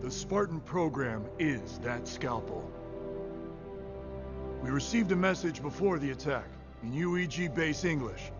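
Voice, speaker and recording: A man playing a grave, resolute senior naval officer, formal and measured, in an animated military sci-fi drama. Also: on the high side. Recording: slightly dull, music behind.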